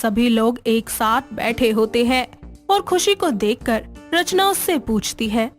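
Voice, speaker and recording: Female narrator for scripted moral stories, slightly high pitch, music underneath. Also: measured pace.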